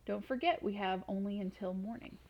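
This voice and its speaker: A woman's voice: medium pitch, a little fast, lo-fi sound, natural voice. A woman voicing an anxious noble lady and mother in a storybook, urgent and crying out. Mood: encouraging.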